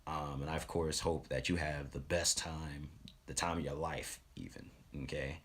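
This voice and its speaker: A man talking casually as a live streamer, giving shout-outs in a halting, rambling, earnest way. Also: a bass voice, steady tone, husky.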